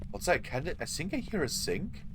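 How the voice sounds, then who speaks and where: Hoarse chatty male game streamer. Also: a little high, sing-song, a little fast, background noise. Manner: apologetic, chatty, talks to chat. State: hoarse, losing his voice. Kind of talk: live gameplay commentary.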